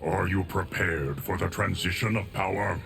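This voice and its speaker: An actor playing a grave, weary and dignified inhuman male warrior in a video game, gravelly and measured. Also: low-pitched, heavy background noise. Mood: solemn. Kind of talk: dramatic video game dialogue.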